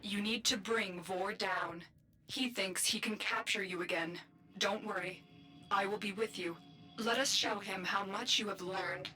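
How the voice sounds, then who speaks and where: A woman playing a calm, solemn mentor in a video game, speaking in a measured, formal, reassuring way. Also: mid-range voice, low-quality recording. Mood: resolute, reassuring. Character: calm, solemn female mentor guiding a warrior. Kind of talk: scripted video game dialogue. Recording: loud game music over voice.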